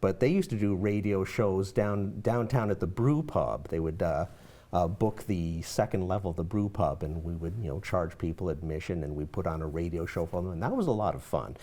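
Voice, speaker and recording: A man's voice: low-pitched, a little fast, warm tone. A man chatting casually and enthusiastically in an interview. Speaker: amateur radio-show performer and organizer.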